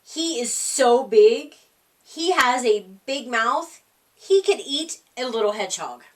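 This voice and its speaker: A woman's voice: a little high, big pitch swings, takes it slowly, distant mic. A woman voicing a small, timid, polite female hedgehog in a storybook, with earnest, simple phrasing. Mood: scared.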